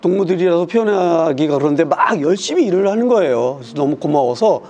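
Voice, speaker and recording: A man on a discussion panel, recounting a conflict in an earnest, measured way. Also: fairly high pitch, expressive, darkish tone.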